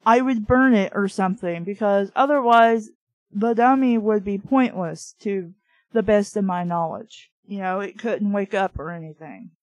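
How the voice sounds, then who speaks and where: A woman's voice: mid-range voice, normal speed, darkish tone, dry recording, poor audio. A woman reading a children's storybook aloud and breaking off with opinionated, nitpicking comments. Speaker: amateur reader and commentator of children's books.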